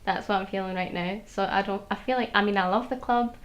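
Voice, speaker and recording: A woman's voice: mid-range voice, steady tone, quick pace, lo-fi sound. A young woman student answering interview questions casually and hesitantly, with many fillers. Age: young adult. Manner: hesitant, filler-heavy speech.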